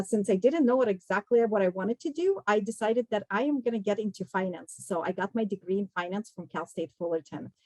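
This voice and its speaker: A woman's voice: medium pitch, dry recording, low-quality recording. A woman from Kazakhstan giving a conversational career talk to students as a guest speaker, explaining things directly to her listeners. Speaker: guest speaker, recruiting executive.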